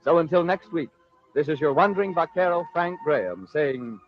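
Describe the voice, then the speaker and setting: An American man narrating a 1940s radio drama as host, in a theatrical, measured storytelling style. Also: on the high side, lively intonation, soft background music. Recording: dull lo-fi 1940s radio recording.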